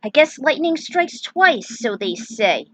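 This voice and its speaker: A woman's voice: sits high, sing-song, slow, dry recording. A woman giving casual, opinionated commentary as a fan fiction author, with a blunt way of talking. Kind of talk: casual author's commentary with some story reading.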